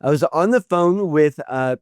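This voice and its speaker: Halting man host, slightly high voice. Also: animated, a little mellow, clear, resonant tone, no echo, clean studio sound. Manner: halting, stumbling restarts. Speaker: podcast host. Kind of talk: interview questions.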